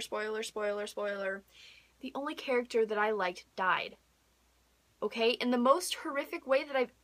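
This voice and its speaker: Young woman, high-pitched, bright forceful tone, casual vlog chat, gushing, repeats words for emphasis. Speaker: book vlogger.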